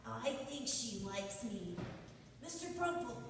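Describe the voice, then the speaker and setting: A woman's voice: a little high, sing-song, slow, heavily acted. A woman playing an eager, chatty young schoolboy in a stage radio play. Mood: excited. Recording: echoey hall, distant mic, faint noise.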